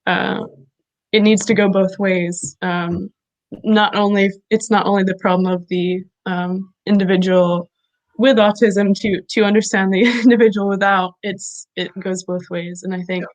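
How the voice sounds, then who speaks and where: A woman hosting a conversational interview, speaking haltingly with many fillers and restarts. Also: mid-range voice, steady tone, poor audio. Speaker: interview host.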